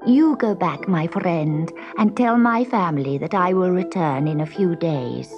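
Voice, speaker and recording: A woman's voice: fairly low pitch, unhurried, dull audio, over music. A woman voicing a small, timid, kindhearted young cat in a storybook, polite and anxious. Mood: earnest. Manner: deferential, anxious.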